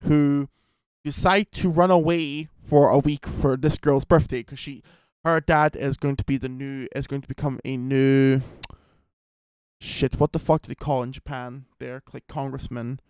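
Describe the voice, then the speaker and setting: A man's voice: medium pitch, steady tone, a little slow, darkish tone, clean tone, no room sound. A man giving a casual, rambling film review, full of fillers and restarts.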